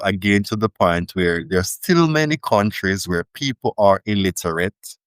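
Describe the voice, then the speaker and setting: A man's voice: lowish voice, no room sound. A Jamaican man, a linguist guest, telling stories in a thoughtful, emphatic way in a casual interview. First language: Jamaican Creole.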